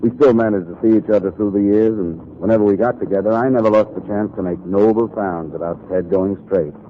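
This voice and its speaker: A muffled, noisy old radio recording carries an actor playing a brooding newspaperman with a low male voice. He is hard-boiled and stubborn, speaking in terse, world-weary 1940s noir drama style. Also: a little fast, lightly acted. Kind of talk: 1940s noir radio acting with first-person narration.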